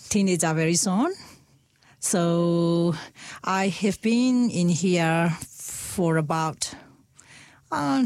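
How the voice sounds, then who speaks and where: Guest, middle-aged woman, Burmese accent, lowish voice, halting gentle delivery, dry close recording. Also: no room sound. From Myanmar. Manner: halting, repeats words, gentle laughs. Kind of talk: casual conversation.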